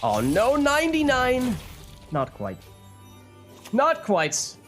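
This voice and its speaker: A man's voice: slow, soft background music. A man talking through a card game run in a let's play, his voice still a little strained as it recovers. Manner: analytical, lively swings in pitch. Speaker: gaming YouTuber.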